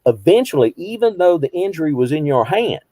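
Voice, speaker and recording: A man's voice: fairly high pitch, sing-song, a little fast, dark tone, no echo. A man in his fifties, a behavioral health counselor, teaching a small group conversationally, posing rhetorical questions and repeating phrases for emphasis. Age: 50s.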